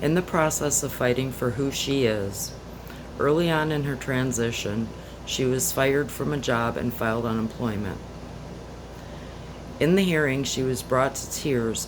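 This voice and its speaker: A woman, the parent of a grown child, reading a heartfelt letter of support in a steady voice. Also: rumbling low, normal speed, background noise. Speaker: parent of a transgender daughter. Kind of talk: reading a personal letter aloud.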